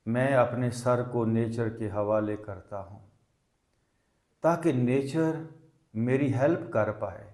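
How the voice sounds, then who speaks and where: A man leading a guided meditation as a teacher, speaking in deliberate short phrases with long pauses. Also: medium pitch, a little mellow. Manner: mixes English words into Hindi. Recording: echoey, reverberant room sound.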